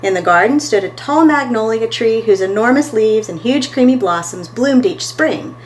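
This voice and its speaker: A woman's voice: a little high, animated, normal speed, slight background noise. A woman reading a picture book aloud as a storytime host, expressively and talking to her listeners between pages.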